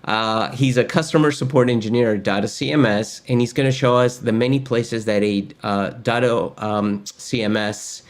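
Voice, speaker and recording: A man's voice: medium pitch, fairly even intonation, moderate pace, few pauses. A middle-aged man from Argentina, hosting a casual webinar in an upbeat, chatty way with many fillers, recorded at his desk in a home studio. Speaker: host. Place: home studio with gaming chair.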